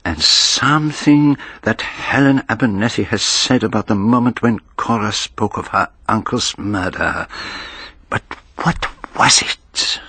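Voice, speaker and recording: An actor playing a courteous, shrewd foreign detective in a radio drama, with a French-speaking accent and formal, precise, measured delivery. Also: male voice, fairly low pitch, pushed and bright, breathy edge, no room sound, exaggerated voice. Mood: reflective. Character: courteous, shrewd foreign male detective narrating his case. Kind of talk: radio drama acting and first-person narration.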